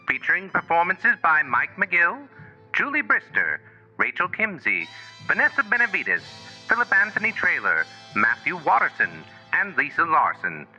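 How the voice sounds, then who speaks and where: An old-time radio announcer reading credits. His voice is piercingly bright and swooping, formal and showy, through a slightly narrow vintage radio sound. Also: fairly high pitch, full character voice. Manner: formal, showy, crisp delivery.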